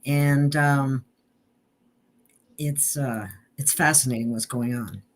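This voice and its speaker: A woman hosting a casual conversation, speaking haltingly with frequent pauses and restarts. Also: extremely low pitch, fairly even intonation. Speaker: host. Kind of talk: casual chat.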